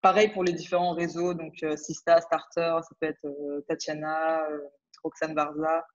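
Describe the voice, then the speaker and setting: A woman's voice: deep voice, level tone, moderate pace, highs cut off. A woman in her twenties from France, a startup founder talking casually in an interview, hesitant with many fillers and restarts. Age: 20s. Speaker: startup founder, podcast guest.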